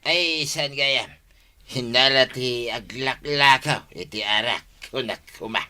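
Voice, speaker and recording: A man's voice: medium pitch, slow, bright, forceful tone, smooth. A man with an Ilocano accent playing a stubborn, whining village drunkard in a comedy radio drama, drawling his complaints. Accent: Ilocano, northern Philippines.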